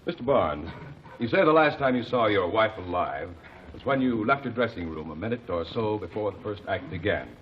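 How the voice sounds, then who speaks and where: Guy as a cool methodical courtroom sleuth, lowish voice, formal, old muffled radio w/ faint hiss. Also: expressive, natural voice. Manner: measured, formal, precise diction. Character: calm, methodical male courtroom lawyer-detective. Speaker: amicus curiae lead. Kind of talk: 1940s radio acting.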